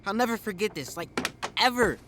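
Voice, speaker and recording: An actress playing a blunt, sarcastic teenager who judges quickly, in a family audio drama. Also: female voice, mid-range voice, animated, fairly bright tone, lightly acted. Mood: hurt, angry.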